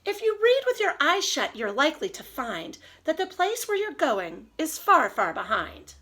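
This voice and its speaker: High-pitched, lively woman teacher reading to kids. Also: moderate pace. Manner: expressive, addressing young children. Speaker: early elementary teacher. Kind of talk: children's read-aloud and lesson.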